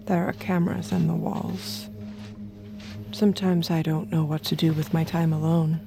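A woman playing an obedient, observant android escort, speaking in a measured, intimate way in an audio drama. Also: low voice, level tone, creaky, music in the background. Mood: detached, wistful. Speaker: narrator and lead character.

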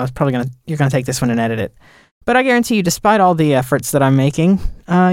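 A man's voice: fairly high pitch, no room sound. A thoughtful man muses about editing, rambling and trailing off mid-thought. The sound is dry and close, with no room around his voice. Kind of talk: casual podcast chat.

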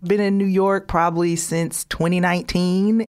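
A woman's voice: on the low side, little pitch movement, moderate pace, a little mellow, smooth. A woman comedian from Georgia with a Southern twang, chatting playfully as a podcast guest. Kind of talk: casual chat.

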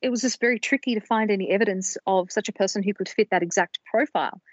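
A woman's voice: mid-range voice, quick pace, dry recording. Woman podcaster, slightly dull dry recording. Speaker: podcast co-host presenting research. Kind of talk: storytelling research discussion.

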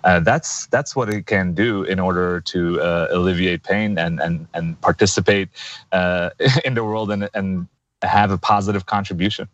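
A man in his thirties with a low, deep voice talks earnestly, stopping and restarting as he builds his points. The sound is dull and lo-fi, like remote audio. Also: fairly even intonation. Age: 30s. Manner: earnest, halting with many fillers and restarts. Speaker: guest activist. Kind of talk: interview answers.

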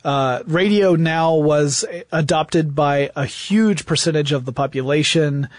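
A man's voice: on the high side, measured pace, dry recording, studio quality. An American man co-hosting a podcast, explaining history conversationally in an emphatic storytelling way. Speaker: podcast co-host.

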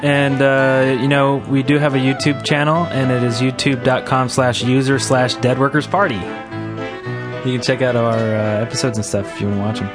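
A man hosting a podcast in a casual, chatty style, giving shout-outs to listeners. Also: medium pitch, little pitch movement, normal speed. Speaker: podcast host. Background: music.

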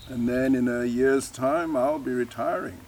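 A man's voice: mid-range voice, unhurried, a little mellow, a little hiss or hum, lightly acted. A man acting an ageing father, wry, fond and self-mocking, hesitant, in a naturalistic audio drama. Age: older.

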